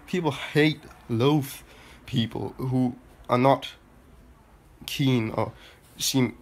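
A man in his twenties with a Danish accent and a stuffy nose from a cold, musing in a halting, rambling monologue full of restarts, recorded on a phone held at arm's length in a small room. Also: mid-range voice. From Denmark. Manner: halting, many restarts and filler sounds. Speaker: vlogger. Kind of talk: rambling personal monologue to camera.

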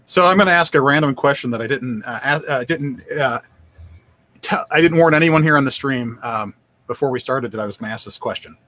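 An older man, hosting a casual live stream in a halting, self-deprecating way. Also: mid-range voice, bright, forceful tone, no room sound. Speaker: host, developer advocate. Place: indoor home room, on a video call.

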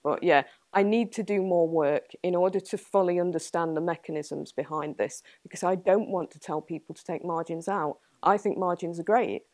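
A woman's voice: lowish voice, moderate pace, hardly any pauses, a little mellow, no room sound. A young woman with an English accent answering audience questions after a talk, thinking aloud and hedging, laughing now and then. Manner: thoughtful, hedging, laughs often. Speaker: guest speaker, entomologist. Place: small indoor meeting room with audience.

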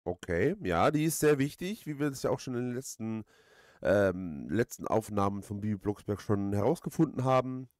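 A man's voice: fairly low pitch, animated, normal speed, darkish tone, no echo, studio quality. A man giving casual let's play commentary as host, rambling hesitantly and repeating his phrases.

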